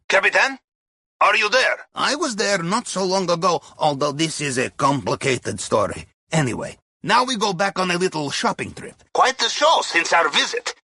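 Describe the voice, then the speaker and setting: Cheerful Russian submariner, high bright voice, wry clipped phrasing, game mission briefing. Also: male voice, slightly rough, no room sound, studio quality, heavily acted. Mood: businesslike, urgent. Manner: wry, clipped phrasing with dropped articles and tag questions. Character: wry, cheerful Russian submarine captain's mate and heist planner. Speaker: mission guide.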